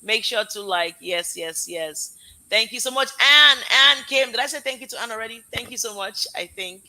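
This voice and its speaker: Woman, Nigerian accent with American edge, live-stream host, piercingly bright tone, gushing and rambling thanks. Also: fairly high pitch, normal speed, low-quality recording. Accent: Nigerian with American mix. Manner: effusive, heartfelt, rambling thanks. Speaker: live show host, parent. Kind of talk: casual live-stream wrap-up.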